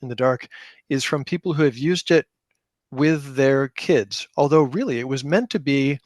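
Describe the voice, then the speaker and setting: A man's voice: mid-range voice, normal speed, no room sound, clean studio sound. A middle-aged man telling anecdotes casually as an interview guest, repeating words for emphasis. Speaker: podcast guest, game creator; high school teacher.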